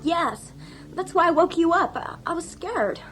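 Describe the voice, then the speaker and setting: A woman's voice: extremely high pitch, lively intonation, measured pace, fairly bright tone, slight background noise, exaggerated voice, rough recording. An actress playing a sarcastic young female travelling companion, spirited and emphatic, in a 1980s radio drama. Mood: worried. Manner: sardonic, emphatic.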